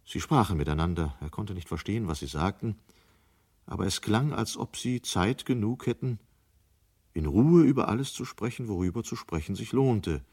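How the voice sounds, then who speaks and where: Grave storyteller, very low voice, measured literary delivery, science fiction radio drama narration. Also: male voice, expressive, a little mellow, slightly rough. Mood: grave, suspenseful. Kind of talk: scripted radio play narration. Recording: 1970 radio play studio recording.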